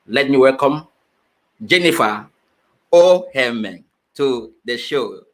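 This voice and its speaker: A man's voice: medium pitch, expressive, takes it slowly, a bit bright. A man hosting an online interview show, friendly and prone to repeating words and restarting. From Finland. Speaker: show host.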